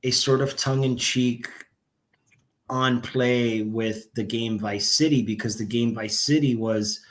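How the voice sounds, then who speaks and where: A young man chatting as a podcast guest, easygoing and rambling. His speech is full of fillers and restarts, and his voice sits at an ordinary middle pitch. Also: steady tone, slow, a bit bright. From Miami, Florida. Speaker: band member, guest. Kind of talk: casual interview talk.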